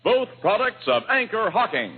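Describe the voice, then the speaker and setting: A man's voice: high-pitched, big pitch swings, moderate pace. A man announcing on 1940s radio, reading scripted sponsor copy in a formal, emphatic, declamatory way. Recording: tinny 1940s radio broadcast, music behind.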